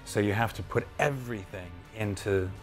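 A man's voice: lowish voice, moderate pace. Middle-aged man, voice actor, friendly, self-deprecating, faint music. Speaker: voice actor, interview guest. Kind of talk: casual interview chat. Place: backstage room with wooden walls at an awards event.

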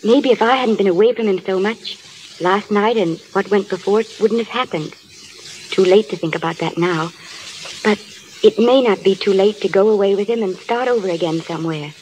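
An actress playing a soft, earnest, self-sacrificing young film star wife in a vintage radio drama. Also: female voice, medium pitch, normal speed, slight background noise, close to an everyday voice, poor audio. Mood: sad resolve. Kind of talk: 1930s radio drama acting. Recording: vintage radio broadcast, faint music.

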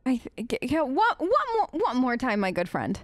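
High-voiced woman doing playful game commentary. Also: sing-song, moderate pace, no echo. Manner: playful, talks back to game characters. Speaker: let's play streamer. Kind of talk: casual gameplay commentary, chatting to characters. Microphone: close, dry mic.

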